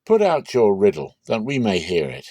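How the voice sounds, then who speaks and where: A man's voice: fairly low pitch, wildly swooping pitch, moderate pace, warm tone, no echo, clean studio sound. Menacing, pointed Philistine townsman, acted by a man. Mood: challenging.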